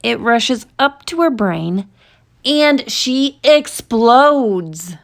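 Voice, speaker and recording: Slow, creaky-voiced woman reading a storybook. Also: fairly high pitch. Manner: expressive, deliberate storytelling with drawn-out emphasis. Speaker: storytime reader. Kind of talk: children's picture book read-aloud.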